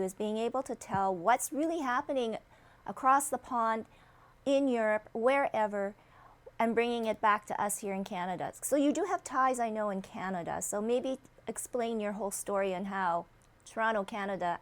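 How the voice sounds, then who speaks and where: A woman hosting an interview show, upbeat and chatty with loose, rambling questions. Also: mid-range voice. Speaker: show host.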